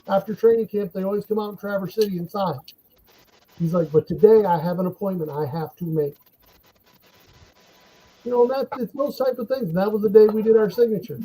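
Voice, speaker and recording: A man telling animated anecdotes in a casual podcast chat. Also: high voice, low-quality recording. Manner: animated anecdotes, laughs while telling stories.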